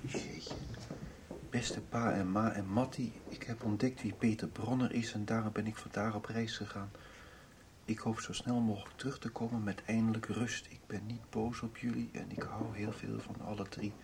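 An actor in a Dutch radio soap playing a subdued, indecisive father, speaking in a hushed, hesitant way. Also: on the low side, little pitch movement, moderate pace, vocal fry, background noise. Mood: quietly stunned. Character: subdued, indecisive father reading son's farewell letter.

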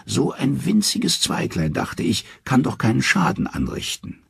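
A man's voice: medium pitch, huge pitch swings, unhurried, creaky, slightly rough, no echo, clean studio sound, pitched above the performer's own voice, exaggerated voice. Proud defiant goddess, as a man puts her on.

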